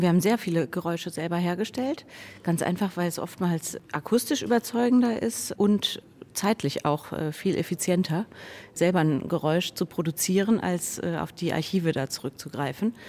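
A woman's voice: fairly low pitch, normal speed, darkish tone. A woman giving a considered, somewhat hesitant interview statement about making radio drama.

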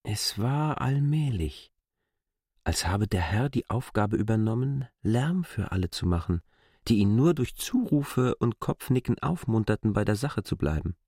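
A man reads literary prose as an expressive storyteller. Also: lowish voice, measured pace, husky, dry recording, clean studio sound. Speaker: narrator of a literary classic. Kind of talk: scripted literary narration.

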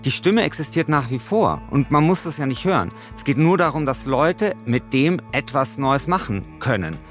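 A man's voice: medium pitch, expressive, normal speed, fairly bright tone, soft background music. A man co-hosting a podcast, talking in a colloquial, argumentative way. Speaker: podcast co-host and radio play author. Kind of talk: casual debate and informal narration.

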